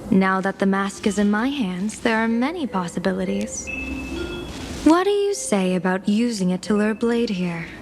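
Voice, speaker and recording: A woman's voice: mid-range voice, lively intonation, moderate pace, over music, close to an everyday voice. A woman voicing a playful, scheming female general who is a seer, teasing and laughing often in a game cutscene.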